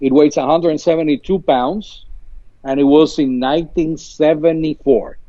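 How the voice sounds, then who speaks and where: Man, fifties, halting, dull lo-fi sound, very dry. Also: fairly high pitch, steady tone, warm tone, no room sound. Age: 50s. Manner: halting, repeats words, many uh fillers. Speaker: marina guest interviewed. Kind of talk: casual live interview.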